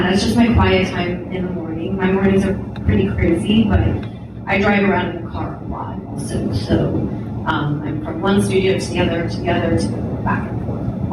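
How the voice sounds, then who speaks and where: A woman in her forties and a studio owner, talking casually in an interview, with lots of fillers and laughs. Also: medium pitch. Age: 40s. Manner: chatty, filler-heavy, laughs often. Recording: roomy echo from far off, loud noise.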